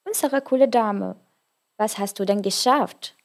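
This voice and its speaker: A woman's voice: mid-range voice, measured pace. A woman interviewing a guest, asking friendly, encouraging questions. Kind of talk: casual interview.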